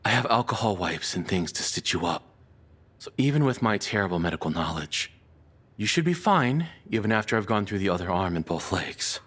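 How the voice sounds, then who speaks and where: A low, breathy male voice for an obsessive, unhinged captor acted by a man in an audio roleplay. He confides softly and haltingly, tender toward his beloved yet calmly menacing. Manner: soft, confessional, hesitant, calmly menacing. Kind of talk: intimate scripted audio roleplay monologue.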